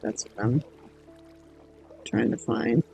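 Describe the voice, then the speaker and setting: Slow, hoarse man chatting on stream. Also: medium pitch, dark tone, faint music behind. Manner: slow, rambling, many ums and pauses. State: hoarse, voice going in and out. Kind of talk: casual gaming stream chat.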